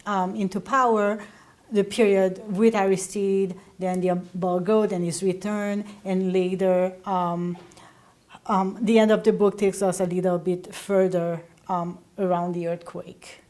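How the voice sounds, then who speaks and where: A woman's voice: mid-range voice, level tone, unhurried, a little mellow. A woman in her thirties from Haiti, telling personal stories conversationally as an interview guest.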